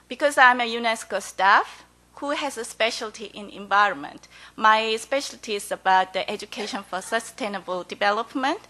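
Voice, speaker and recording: Korean-accented woman, bright and high. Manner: halting, full of hesitations. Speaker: forum panelist. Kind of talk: conference panel remarks.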